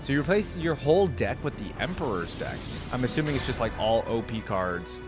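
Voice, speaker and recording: Male reaction host, mid-pitched, informal and chatty, thinking aloud over clear music. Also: animated, fairly quick. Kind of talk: casual reaction commentary.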